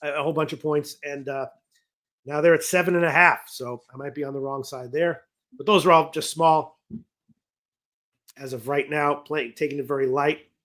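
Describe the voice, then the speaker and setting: A man hosting a sports betting talk show, rambling and correcting himself as he reads viewer comments, his voice going hoarse. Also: fairly high pitch, steady tone, moderate pace, fairly bright tone. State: losing his voice. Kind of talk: casual betting talk on a livestream.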